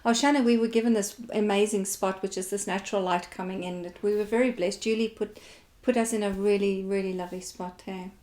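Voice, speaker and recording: A woman's voice: medium pitch, fairly even intonation, brisk, hardly any pauses, a little mellow. A South African woman hosting a live talk show in a chatty, effusive and encouraging way, interviewing her guest.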